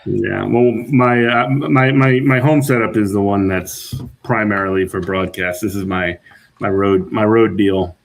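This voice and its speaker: A man's voice: lowish voice, measured pace, gravelly, slight background noise, rough recording. A man co-hosting a casual livestream, laid-back, swearing and laughing as he chats. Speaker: stream co-host. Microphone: laptop setup on the road.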